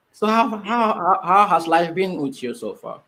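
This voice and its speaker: A man's voice: high voice, pushed and bright. A man hosting an online interview show, friendly and prone to repeating words and restarting. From Finland. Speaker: show host.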